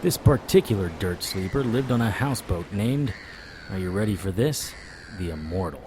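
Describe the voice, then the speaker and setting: A man's voice: on the low side, expressive, normal speed. A man narrating an audio drama in the first person as a sardonic, world-weary vampire hunter, in a hard-boiled style. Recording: audible background noise.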